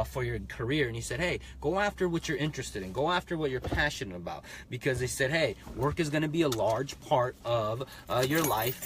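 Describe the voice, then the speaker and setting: A man's voice: mid-range voice, a bit bright, breathy edge. A man in his thirties, a real estate investor giving a casual live-stream talk from inside a car, speaking into a phone held at arm's length, full of fillers and rhetorical "right?"s.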